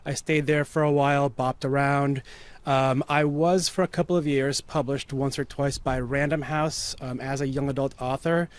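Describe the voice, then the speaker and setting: A man who grew up in the United States, a writer being interviewed, speaking in a measured way with dry, wry asides. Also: mid-range voice, fairly even intonation, clean tone, dry recording. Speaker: guest, writer and performer. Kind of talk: casual interview chat.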